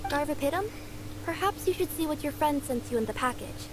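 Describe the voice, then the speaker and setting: A considerate female companion in a video game, advising gently. The voice is high, with clear ambient game noise behind it. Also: expressive, brisk. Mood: gentle, prompting.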